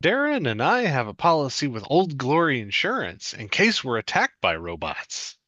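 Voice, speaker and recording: A man's voice: medium pitch, huge pitch swings, normal speed, pushed and bright, dry recording, lo-fi sound. A man playing a chatty elderly woman in a sketch comedy table read, matter-of-fact and explanatory. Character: chatty elderly woman reassured by robot insurance.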